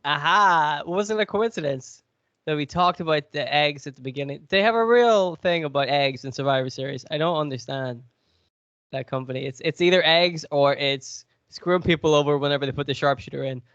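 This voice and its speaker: A man's voice: fairly high pitch, a little fast, a bit bright, smooth, no echo, highs cut off. A man with a cold, sniffly, chatting and joking as he hosts a podcast quiz. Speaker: podcast co-host. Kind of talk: casual podcast chat.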